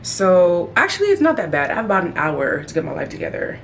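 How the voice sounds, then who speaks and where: A woman in her thirties chatting casually as a beauty vlogger while doing her makeup, playful, with sudden high exclamations. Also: very animated, fast talker, slight background noise. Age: 30s. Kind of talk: casual get-ready-with-me chat.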